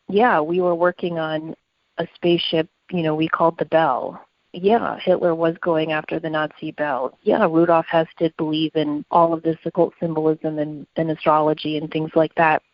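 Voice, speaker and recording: A woman with a slightly low voice co-hosts a conversational podcast. She sounds inquisitive and articulate, stressing her points, on a very dry and somewhat dull recording. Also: dry recording. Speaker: podcast co-host.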